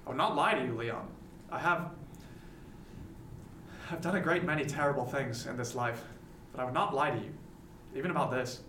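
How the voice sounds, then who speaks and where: An actor playing a brooding, proud first mate on a merchant ship in a period radio drama, grave and resolute in manner. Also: male voice, medium pitch, normal speed, pauses often, a bit bright, slight background noise, natural voice. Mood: earnest. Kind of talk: serial radio drama acting, period seafaring. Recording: echoey room, guitar music behind.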